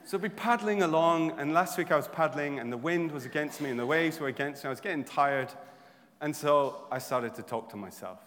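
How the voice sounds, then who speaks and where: A man preaching a sermon, turning from quiet reflection to loud, humorous exhortation. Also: on the high side, lively intonation, fairly quick, a little hiss or hum. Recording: long hall reverb.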